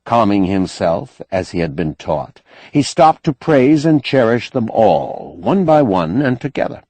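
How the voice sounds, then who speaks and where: Deep-voiced male audiobook narrator, very lively intonation. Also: measured pace, no room sound. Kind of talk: scripted narration of a science fiction novel.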